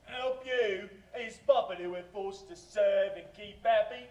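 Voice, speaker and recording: Man playing a high-voiced, scornful villager. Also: measured pace, echoey room, rough recording. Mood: bitter, scornful. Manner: scornful, colloquial. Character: resentful, rough-tongued villager forced to serve. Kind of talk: live audio theater performance.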